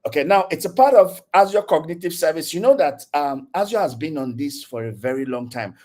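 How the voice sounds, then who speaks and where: A man's voice: a little high, expressive, a little fast, a little mellow. A man with a Nigerian accent giving a casual online tech lecture over a video call, chatty and repetitive, often checking in with "okay?". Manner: chatty, repeats words, frequent "okay?" check-ins. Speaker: guest speaker, tech community leader.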